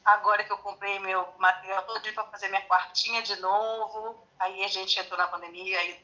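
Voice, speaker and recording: A woman's voice: medium pitch, little pitch movement, brisk, pushed and bright, lo-fi sound. A woman in her forties with a Rio de Janeiro Brazilian accent, chatting casually as a guest on a live stream over a phone. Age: 40s. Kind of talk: casual chat.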